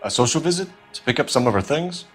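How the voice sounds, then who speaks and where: Animated, businesslike male homicide detective. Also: fairly high pitch, quick pace, faint music behind. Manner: formal, pressing, businesslike. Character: earnest, probing young police homicide detective. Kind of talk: 1940s crime game interrogation acting.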